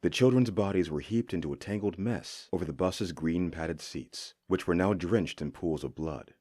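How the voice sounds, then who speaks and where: A man reading a horror story as a scripted narration, with suspenseful, expressive delivery. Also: deep voice, normal speed, dry recording, studio quality.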